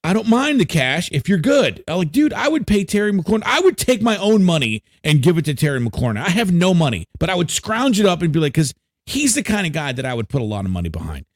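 American dude on a sports podcast, kinda higher voice, really up and down, loose slangy banter. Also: male voice, fast, no room sound, clean studio sound. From Frederick, Maryland, United States. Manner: slangy, animated fan talk peppered with "man" and "dude".

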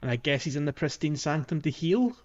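A man's voice: on the high side, expressive, a little fast, darkish tone, highs cut off. A man co-hosting a podcast, telling things in a hesitant, informal way as he talks through a video game. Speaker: podcast co-host. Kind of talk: casual video game playthrough chat.

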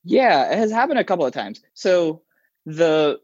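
A man whose first language is Arabic, a software developer talking casually in an interview, with many restarts and fillers. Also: fairly high pitch, big pitch swings, normal speed, dry recording, dull audio. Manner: rambling, frequent restarts and fillers.

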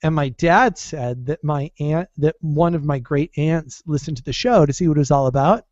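A man co-hosting a podcast in casual chat, with a raspy voice today, telling jokey, rambling stories. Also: fairly high pitch, moderate pace, darkish tone, dry recording, lo-fi sound. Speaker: podcast co-host.

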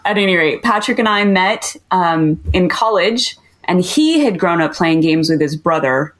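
Chatty woman with a lowish voice. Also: moderate pace. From Southern California, USA. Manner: chatty and lively, laughs often. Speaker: podcast guest. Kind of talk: casual podcast conversation.

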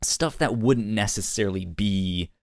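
A man hosting a podcast, chatting casually and haltingly, often repeating words as he works out his thought. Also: lowish voice, no room sound, clean studio sound. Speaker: podcast host. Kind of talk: casual review discussion.

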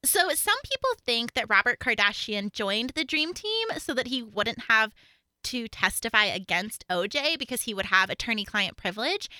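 Casting: young female podcast host, American with a slight Southern twang, high-pitched, very bright, giggly and informal, close dry sound. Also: clear, resonant tone, no echo. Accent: American, occasional Oklahoma Southern twang. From Oklahoma, US. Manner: giggly, animated, informal with frequent "like". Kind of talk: casual true-crime podcast chat.

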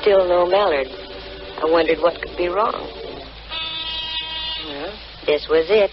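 A woman's voice: on the low side, takes it slowly. Wisecracking lady detective, old radio. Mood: wry narration. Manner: arch, self-assured. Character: brisk, wisecracking female private detective. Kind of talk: 1940s-50s radio detective drama, acting and narrating. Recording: muffled, noisy old radio recording.

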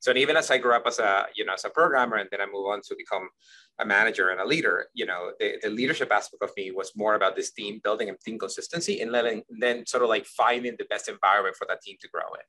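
Bright-voiced man from Ecuador, rambling. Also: fairly low pitch, fast, low-quality recording. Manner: rambling, repeats words, asks "you see what I mean?". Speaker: guest, company leader. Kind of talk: conversational interview answers.

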